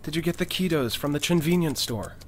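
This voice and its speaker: A man's voice: fairly high pitch, fairly quick, slight background noise, close to an everyday voice. A man playing an earnest, defensive slacker boyfriend with a speech impediment in a comic audio drama. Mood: casual. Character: Charlie, whiny, defensive, needy slacker boyfriend.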